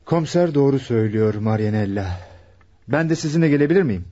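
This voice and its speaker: Man acting an earnest, husky young fiancé. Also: medium pitch, lively intonation, quick pace, warm tone, lightly acted. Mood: earnest, thoughtful. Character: earnest young fiancé helping a police investigation. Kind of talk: Turkish radio theatre acting. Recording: dull, muffled old radio drama recording.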